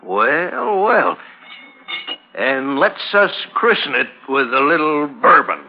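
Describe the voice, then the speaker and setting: A man's voice: on the high side, big pitch swings, heavily acted. A man playing a sneering saloon bully who mocks and taunts in an old radio western. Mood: taunting. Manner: drawn-out mocking delivery. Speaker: radio drama actor. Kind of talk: 1950s radio western acting. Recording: noisy, muffled old radio broadcast.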